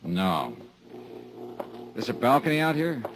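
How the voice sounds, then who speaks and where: An actor playing a wry, hard-boiled insurance investigator who narrates and trades dry, sardonic quips in a 1950s radio drama. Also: male voice, mid-range voice, huge pitch swings, slow pace, vocal fry, slight background noise, full character voice, low-quality recording. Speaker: narrator and lead.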